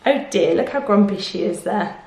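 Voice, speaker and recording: A woman reading a picture book aloud to children, expressive, with explanations and questions to the listener. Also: mid-range voice, moderate pace. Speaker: children's storyteller. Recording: echoey room.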